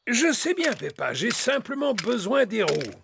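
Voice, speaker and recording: A kindly, gravelly old grandfather pig. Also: very high-pitched, wildly swooping pitch, measured pace, slight background noise, full character voice. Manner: cheerful, reassuring. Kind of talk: French cartoon dub. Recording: with loud background music.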